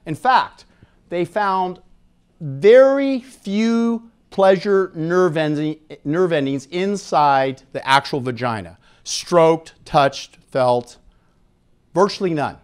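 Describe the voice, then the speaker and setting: Middle-aged American male seminar speaker, pitch a bit above average, handheld mic, frank public-lecture delivery. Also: takes it slowly, clear, resonant tone. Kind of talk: public lecture with questions to the audience. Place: conference room at an expo, live audience. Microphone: handheld microphone.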